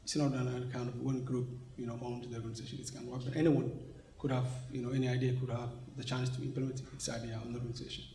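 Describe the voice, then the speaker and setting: A man's voice: mid-range voice, little pitch movement, rapid-fire, few pauses, warm tone. A man in his forties from Somalia, speaking English as an in an interview, hesitant with many fillers and restarts. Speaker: interviewee, organization representative. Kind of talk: conversational interview. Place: conference venue, indoors. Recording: echoey room sound.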